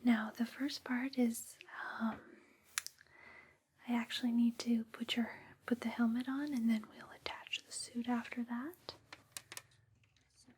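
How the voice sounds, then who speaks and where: A woman performing a whispered ASMR roleplay, gentle, soothing and unhurried, close on a binaural microphone. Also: on the high side, fairly even intonation, breathy edge.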